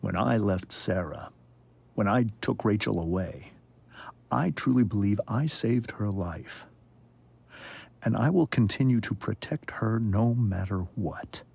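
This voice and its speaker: An actor playing a stern, protective middle-aged father in a video game drama, speaking in a grave, measured way with a husky, gravelly voice. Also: lowish voice, lively intonation, a little mellow, exaggerated voice. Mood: resolute.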